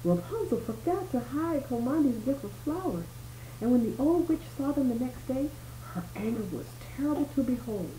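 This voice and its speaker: A woman's voice: high voice, lively intonation, moderate pace, dark tone. A woman reading a bedtime story aloud expressively, with small explanatory asides as if to a child. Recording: roomy home recording with faint noise.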